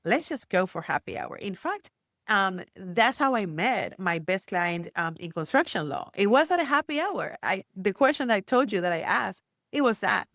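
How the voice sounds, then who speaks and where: Puerto Rican woman, entrepreneur guest, chatty and animated, mid pitch, dry close sound. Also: normal speed, no echo. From Puerto Rico. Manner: chatty, animated storytelling with many fillers. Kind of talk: casual interview chat.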